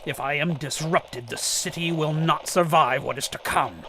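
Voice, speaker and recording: A man playing a grim, mysterious vigilante in a radio drama, speaking formally and ominously in a gravelly half-whisper. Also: a little high, background noise, natural voice. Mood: grave, foreboding. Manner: slow, ominous, formal speech. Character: grim mysterious male vigilante, cryptic and resolute.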